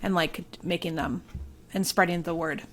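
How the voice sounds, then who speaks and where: A woman's voice: lowish voice, fairly even intonation, normal speed, a bit bright. A woman guest on a talk show, playful and joking in the way she speaks. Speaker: guest, local journalist. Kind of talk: casual chat with dramatic reading of public comments.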